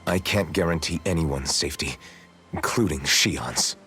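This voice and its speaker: Very deep-voiced brooding young hero. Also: male voice, a little slow, sharp tone, soft background music, exaggerated voice. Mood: troubled. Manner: somber, hesitant, self-doubting. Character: brooding, guilt-ridden young male fantasy hero. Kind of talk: dramatic video game voice acting.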